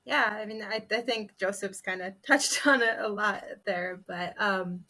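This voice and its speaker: A woman's voice: mid-range voice, a little slow, pushed and bright. A woman on a panel, casually telling personal stories in an informal, conversational way. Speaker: storyteller panelist.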